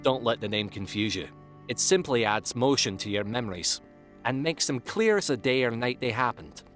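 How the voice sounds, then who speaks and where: A man's voice: mid-range voice, lively intonation, normal speed, fairly bright tone, natural voice. Guy doing an upbeat cheeky young pony dude, voice bounces all over, fan audio drama w/ music behind. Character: excitable young adult male pegasus pony, cheerful nature-lover. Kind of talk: acted dialogue in a fan-made audio drama.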